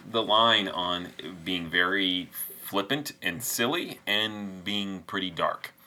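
A man's voice: sits low, slow, sharp tone. A man co-hosting a podcast in a casual, conversational way. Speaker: podcast co-host. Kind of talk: casual chat.

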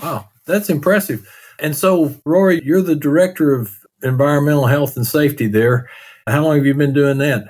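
A man's voice: a little high, moderate pace, darkish tone. A man with a Texas accent hosting a podcast interview in an easygoing, friendly way. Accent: Texan. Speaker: podcast host.

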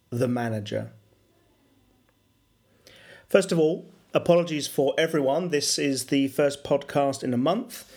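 A middle-aged man talks through a solo podcast episode in a mid-pitched voice. He explains things in a measured way, pausing on fillers and correcting himself as he goes. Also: a little mellow. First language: English. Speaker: podcast host and business English coach.

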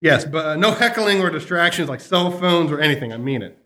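High-pitched, hammy man on an echoey stage. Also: expressive, a little fast, pushed and bright. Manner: hammy, teasing showman. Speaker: podcast co-host. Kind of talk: live variety show banter. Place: live stage at a comic shop. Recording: echoey live venue with music and crowd.

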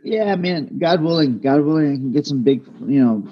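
A man whose first language is Aramaic, speaking as a fighter guest in a casual interview, humble and halting with many restarts. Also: on the high side, expressive, husky, highs cut off.

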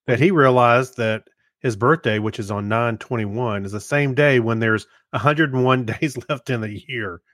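A man's voice: mid-range voice, normal speed, a bit bright, no echo, studio quality. A man co-hosting a podcast, trading easygoing casual banter and reading history items aloud. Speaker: podcast co-host.

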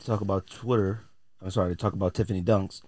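An American man with a low voice, recorded close and dry. He talks like a podcast host sharing opinions, informal and full of slang. His delivery is blunt and conversational. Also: steady tone, fast, mellow, no room sound. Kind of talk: casual opinionated podcast talk.

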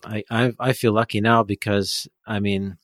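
A man in his thirties from Ontario, Canada, hosting a podcast in relaxed conversation and asking friendly questions. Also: fairly low pitch, normal speed, dry recording, clean studio sound. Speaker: podcast host. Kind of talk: casual chat.

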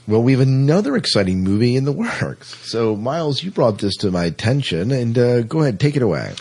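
A man's voice: mid-range voice, very animated, a little fast, a little mellow, breathy edge. An American man hosting a casual entertainment news podcast in an informal, conversational way. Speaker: podcast host.

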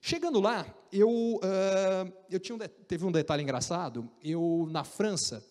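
A man with a Brazilian Portuguese accent telling an animated, joking story about his career, with frequent restarts. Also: high voice, unhurried, in a large hall. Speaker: speaker recounting career story. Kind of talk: casual storytelling talk.

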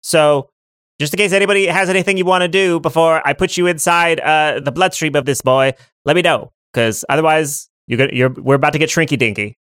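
A man's voice: fairly high pitch, brisk, fairly bright tone, smooth, dry recording, clean studio sound, pitched above the performer's own voice, lightly acted. A man voicing a zany female witch who cackles and rambles with silly wordplay. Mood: rambling.

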